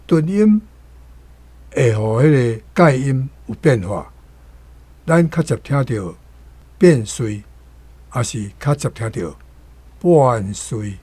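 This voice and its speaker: A man with a Taiwanese accent reads aloud and explains pronunciation as a language commentator, in a deliberate manner. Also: medium pitch, animated, warm tone, breathy edge, dry recording.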